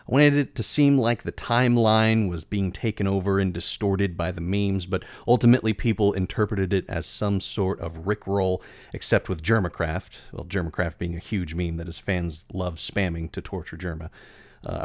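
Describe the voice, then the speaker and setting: A man with a fairly low voice narrates in a very dry, close recording. He explains things in a measured, articulate way, like a video creator reading his own script. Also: no room sound.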